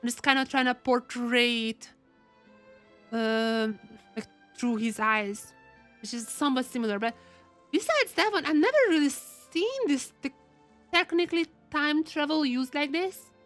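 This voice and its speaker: A woman giving excitable, casual live reactions as she streams a game, often repeating her words. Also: sits high, unhurried, soft background music.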